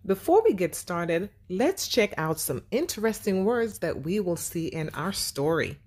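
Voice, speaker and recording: Slow-paced woman teaching young children. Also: fairly low pitch, sing-song, a little mellow. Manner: simple, deliberate, addressing young listeners with questions. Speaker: teacher and story reader for children. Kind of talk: children's lesson and storybook read-aloud.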